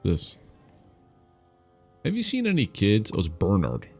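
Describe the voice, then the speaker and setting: Low, creaky, animated man gaming. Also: a little slow, soft background music. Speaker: gaming video host. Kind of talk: casual let's-play commentary, reading dialogue choices.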